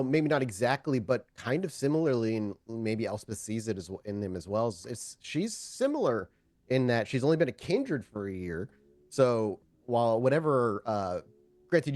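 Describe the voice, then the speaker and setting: A man's voice: mid-range voice, darkish tone, no echo. A man acting as game master, casually narrating and refereeing a tabletop roleplaying game.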